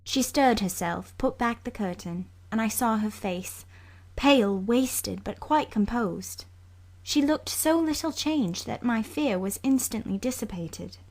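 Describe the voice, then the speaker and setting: Measured woman narrating a novel. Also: on the high side, lively intonation, a little mellow. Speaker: audiobook narrator. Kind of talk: scripted literary narration.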